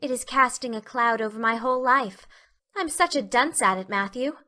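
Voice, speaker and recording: A woman acts out a young storybook schoolgirl, earnest and chatty. The voice is fairly high and very bright. Sentences come out wordy and melodramatic, with great seriousness about small things. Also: normal speed. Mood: plaintive. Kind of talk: storybook character dialogue.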